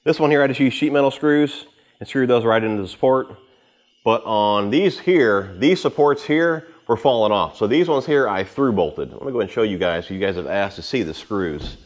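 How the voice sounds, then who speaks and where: A man in his thirties giving a casual, unscripted walkthrough to camera in an informal, rambling way, with pauses as he handles gear. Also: medium pitch, expressive. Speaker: vlogger giving a boat tour. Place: home garage with the door open.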